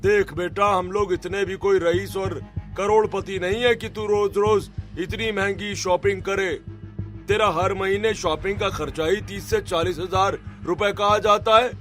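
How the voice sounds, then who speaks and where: A man voicing an exasperated, thrifty middle-aged father in a cartoon, loud and nagging. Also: fairly even intonation, unhurried, soft background music. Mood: exasperated scolding. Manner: loud, nagging, raised pitch. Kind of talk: animated cartoon story acting.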